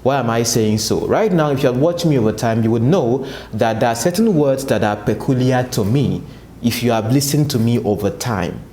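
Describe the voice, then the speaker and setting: A man's voice: mid-range voice, animated, moderate pace, warm tone, reverberant, a little hiss or hum. A Nigerian man giving political commentary to his viewers, asking and answering his own rhetorical questions. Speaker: political analyst, channel host. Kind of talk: commentary to camera.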